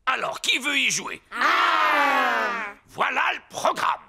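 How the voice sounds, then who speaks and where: A man playing a theatrical old sea-dog grandpa as a swaggering, gravelly pirate captain in a preschool cartoon dub. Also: extremely high pitch, very animated, takes it slowly, shouting, very noisy, full character voice. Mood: dramatic. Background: music.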